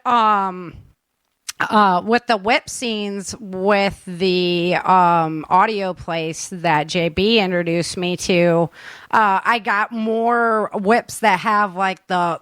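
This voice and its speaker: A woman's voice: mid-range voice, slow, a bit bright, clean tone, no room sound. A woman with a mid-range voice chats casually as a show co-host. She is informal and enthusiastic, leaning on slang, and is recorded close and dry over an online call. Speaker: co-host and audio drama producer. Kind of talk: casual chat.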